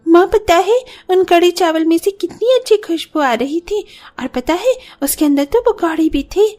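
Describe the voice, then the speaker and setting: A woman's voice: extremely high pitch, expressive, moderate pace, warm tone. A woman voicing a hungry, pleading small child in a cartoon story, childlike and plaintive. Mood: whining, sulky.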